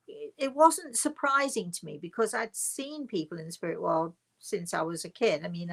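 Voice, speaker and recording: A woman's voice: medium pitch, vocal fry, fairly bright tone. A woman telling a personal story informally to an online audience, conversational and emphatic, stretching and repeating words for emphasis. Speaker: spiritual medium telling her story.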